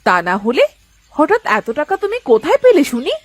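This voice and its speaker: A woman voicing a sharp-tongued, disbelieving village wife in a cartoon folk tale, full of scolding questions. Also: high-pitched, sing-song, brisk, dry recording. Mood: scolding, suspicious. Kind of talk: cartoon moral-tale dialogue.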